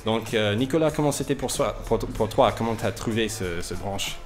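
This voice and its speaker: A man hosting a casual radio interview, with a hesitant, halting way of talking and sentences that trail off. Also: lowish voice, expressive, soft background music. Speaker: radio host. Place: radio studio.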